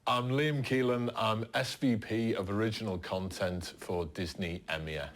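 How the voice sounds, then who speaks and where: A man's voice: fairly low pitch, steady tone, measured pace. A man, a television executive, speaking in an interview-style testimonial.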